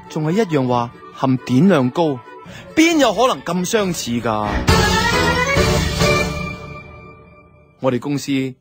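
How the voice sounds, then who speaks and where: Male actor as wry, aggrieved office guy narrating a radio drama, lightish pitch, Hong Kong Cantonese, conversational grumble. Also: natural voice. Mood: suspicious, indignant. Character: wry, aggrieved male advertising office worker telling his story. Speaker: narrator and lead character of a radio drama series. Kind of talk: first-person radio drama narration, colloquial. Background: background music.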